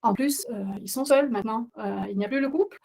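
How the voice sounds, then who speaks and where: Woman with a non-native accent, middle-aged, somewhat high voice, hesitant, earnest webinar host. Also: brisk, gravelly, mellow. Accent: non-native speaker of French. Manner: hesitant, many filler sounds, earnest. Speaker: parenting coach hosting a webinar. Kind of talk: webinar presentation.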